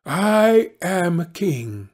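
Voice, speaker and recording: A man's voice: high-pitched, big pitch swings, drawn-out words, voice pushed up, full character voice. A man voicing a greedy, domineering fisherman's wife in a fairy-tale audiobook, imperious and demanding, stretching out the words. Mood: haughty, restless.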